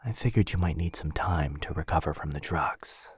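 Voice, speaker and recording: A man playing a gentle, protective vampire gentleman, breathy and soothing, in a close-miked boyfriend ASMR roleplay. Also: a bass voice, normal speed, dry recording, full character voice. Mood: soft, soothing. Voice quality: breathy, husky, whispery. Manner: soothing, apologetic. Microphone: close mic, dry.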